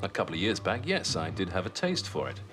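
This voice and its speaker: Cagey ex-spy, lowish voice, dry and wry, music behind. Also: male voice, sing-song, fast. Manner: dry, guarded, wry questions. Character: dry wary male ex-spy, back from holiday. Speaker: former intelligence agent. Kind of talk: 1980s British TV drama acting. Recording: TV soundtrack with music underneath.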